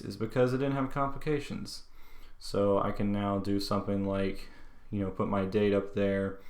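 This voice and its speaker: A man hosting a casual tech walkthrough, explaining features in an informal way. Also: fairly low pitch. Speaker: tech video host. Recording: echoey, reverberant room.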